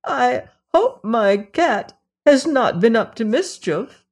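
A humble, grieving old mother from a storybook, played by a woman in a lifted, tender voice. She speaks slowly and sorrowfully. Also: fairly high pitch, big pitch swings, vocal fry, darkish tone, pitched above the performer's own voice, heavily acted.

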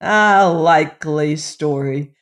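A woman's voice: sits low, slow. A woman voicing stern, mocking male gaolers in a storybook, calling out scornfully and with menace.

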